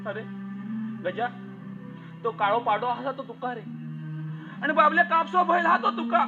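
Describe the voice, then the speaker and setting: A man's voice: very high-pitched, lively intonation, unhurried, highs cut off, over music, full character voice. A man acting in a Malvani stage play as a village elder who pleads emphatically with a family not to break up. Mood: admonishing, sorrowful. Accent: Malvani Konkani. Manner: emphatic, repetitive pleading and admonishing. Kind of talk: theatrical one-act play acting.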